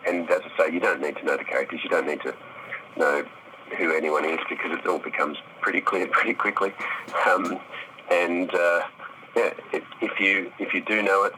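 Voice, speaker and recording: Deep-voiced man, bright and thoughtful. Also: a little hiss or hum, poor audio. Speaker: actor, interview guest. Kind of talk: casual interview answers.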